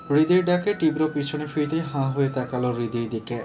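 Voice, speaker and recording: A man's voice: fairly high pitch, fairly quick, dark tone. Male narrator of romantic stories over music. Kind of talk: scripted romantic story narration.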